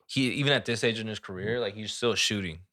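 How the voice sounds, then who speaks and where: Fast-talking slangy man, low bright voice. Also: no echo, clean studio sound. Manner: profane, slangy. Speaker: podcast co-host. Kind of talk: casual chat.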